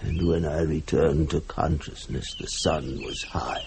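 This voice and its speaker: An actor playing a haunted, guilt-ridden man recounting horror in a halting, dramatic radio-play manner. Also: extremely low pitch, steady tone, darkish tone. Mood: grim, hushed. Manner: halting, dramatic, broken phrases. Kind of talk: old-time radio horror drama acting. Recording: noisy vintage radio drama recording.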